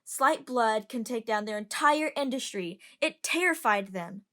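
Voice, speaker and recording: Girl doing a chatty young passenger, preachy, kinda high voice. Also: animated, measured pace, sharp tone. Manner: chatty, lecturing. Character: curious young woman passenger, outspoken sympathizer. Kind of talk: amateur audio drama acting.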